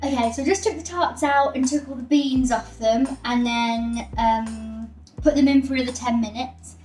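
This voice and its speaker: A woman with a fairly high voice gives a casual cooking demonstration. She is set back from the mic in an echoey room, with music playing behind her. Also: fairly even intonation, moderate pace, a little mellow, recorded from a distance. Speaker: cooking show presenter.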